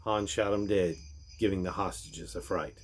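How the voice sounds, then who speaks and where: A man's voice: sits low, fairly even intonation, normal speed. A man reading a rhyming storybook aloud in a theatrical, emphatic manner, swelling and then dropping away at the ends of lines. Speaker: reader of a parody Christmas storybook.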